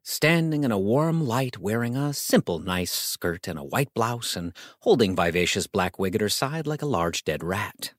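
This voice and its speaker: A man's voice: fairly low pitch, big pitch swings, moderate pace, hardly any pauses, no echo, clean studio sound. A man narrating a scripted comic novel as a dramatic audiobook reading.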